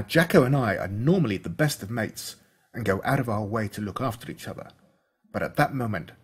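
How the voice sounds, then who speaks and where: Husky Australian man narrating with dramatic swings. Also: mid-range voice. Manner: dramatic, suspenseful delivery with slowed emphatic words. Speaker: audiobook narrator. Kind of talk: scripted first-person story narration.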